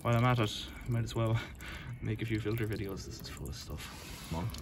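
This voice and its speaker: A man's voice: lowish voice, a little fast, vocal fry. A man from the UK walking back alleys outdoors, filming on a handheld phone and chatting in a rambling, matter-of-fact way. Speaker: vlogger. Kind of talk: casual walk-and-talk commentary. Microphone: handheld phone camera. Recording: loud outdoor noise behind voice.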